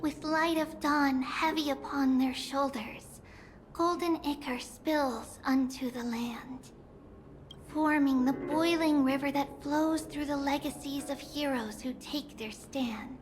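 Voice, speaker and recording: A graceful female storyteller from a fantasy game. Her voice sits high and she speaks slowly, with a lyrical, theatrical poise. Prominent music plays behind her. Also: fairly even intonation. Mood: solemn, lyrical. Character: graceful woman storyteller reciting an ancient myth. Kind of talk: poetic recitation in a fantasy video game.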